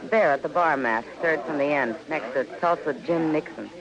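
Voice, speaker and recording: A woman's voice: low voice, normal speed. An actress playing a cool, knowing saloon woman, speaking casually in an old radio western. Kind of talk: 1950s radio western acting. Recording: muffled old radio, loud crowd behind.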